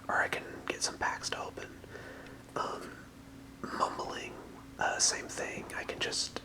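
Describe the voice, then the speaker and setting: An ASMR creator whispering softly and casually, in a hesitant, apologetic way. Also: poor audio. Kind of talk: whispered ASMR, casual rambling card-deck showcase. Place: apartment room.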